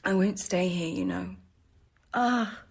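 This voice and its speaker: An actress playing a bitter, defiant sixteen-year-old noble girl forced to be a novice, sullen and sharp, in a radio drama. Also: mid-range voice, slow pace, husky.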